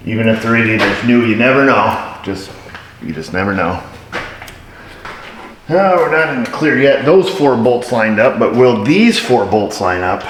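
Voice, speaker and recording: A middle-aged mechanic with a lowish voice talks through a repair as he works, dry and wry, poking fun at himself. He sounds like he's in a big echoey garage, with clear shop noise behind him. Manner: wry, dry self-mocking asides. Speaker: auto mechanic, shop owner. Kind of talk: casual how-to narration while working.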